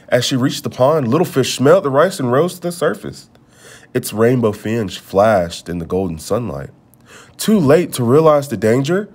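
Expressive man reading a storybook aloud. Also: medium pitch, breathy edge. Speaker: storybook reader. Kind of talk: read-aloud storybook narration.

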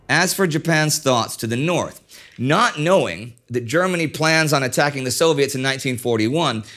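A man's voice: a little high, a bit bright. A middle-aged man hosting a history show, presenting a script in a conversational way with wry asides, seated at a desk in a studio set with a lapel mic. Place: indoor studio set styled as an office.

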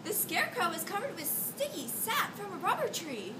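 A young woman narrates a children's story with theatrical emphasis. Her voice is extremely high and lively, picked up from far off in an echoey, noisy classroom. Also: moderate pace. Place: classroom, recorded by a far camera.

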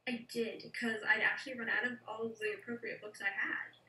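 A young woman, an early childhood educator, reading a picture book aloud to children over a video call from a room at home, gentle and expressive. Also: on the high side, brisk, a little hiss or hum. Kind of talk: read-aloud storytelling for children. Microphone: video call from a laptop. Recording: echoey room, distant laptop mic.

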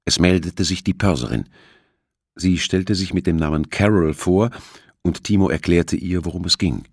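A man's voice: low-pitched, moderate pace, darkish tone, husky, dry recording, studio quality. A man narrating a novel as a scripted audiobook, acting out the dialogue.

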